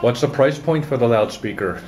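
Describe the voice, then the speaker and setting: A man's voice: mid-range voice, moderate pace, a little mellow. A man working as a reporter, doing an on-location interview at a show. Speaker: magazine reporter interviewing exhibitors. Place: exhibit room at an audio show.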